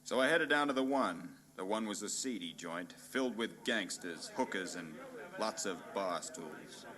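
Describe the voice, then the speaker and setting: A man playing a hard-boiled, pompous and bumbling private detective in a noir radio play parody, narrating in a deadpan, melodramatic way. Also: on the low side, measured pace. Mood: deadpan world-weary.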